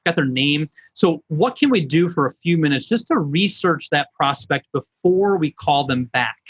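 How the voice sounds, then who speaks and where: A man's voice: fairly high pitch, animated, normal speed, husky, muffled recording. A middle-aged man, a business coach, presenting online in an informal, folksy, conversational way.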